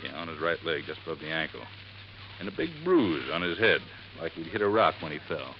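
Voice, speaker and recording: An actor playing a folksy, earnest boat-landing owner in 1950s radio drama, telling things in a plain, halting, emphatic way. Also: male voice, very deep voice, very animated. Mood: grave. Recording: dull, noisy vintage radio recording.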